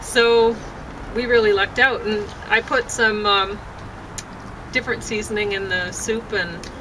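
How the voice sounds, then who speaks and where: A woman chatting casually and haltingly, thinking aloud as she goes and often breaking off to rephrase. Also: fairly even intonation. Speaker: vlogger and amateur poet. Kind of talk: casual rambling chat to camera. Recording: noisy, distant mic, traffic-like rumble.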